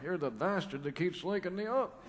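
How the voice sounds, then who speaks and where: A man voicing a crude, forgetful old man from a care home who grumbles and suddenly shouts. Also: sits high, animated, normal speed, heavy background noise. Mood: grumpy, crude. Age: older. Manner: grumpy, bawdy, forgetful, shouting bursts. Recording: echoey hall with loud audience laughter.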